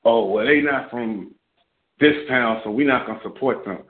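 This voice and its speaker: A man speaks over a thin, tinny phone line, his voice at an ordinary middle pitch. He talks bluntly and with passion, rambling through long runs of thought. He is a caller on a talk radio show. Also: moderate pace. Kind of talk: call-in radio commentary.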